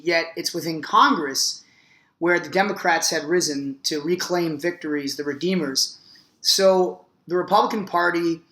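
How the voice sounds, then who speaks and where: A hoarse man giving a relaxed history lesson as the show's teacher and host, talking casually and often filling pauses with "uh". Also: on the high side, reverberant. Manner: chatty, frequent "uh" fillers, enthusiastic. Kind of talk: casual video lecture.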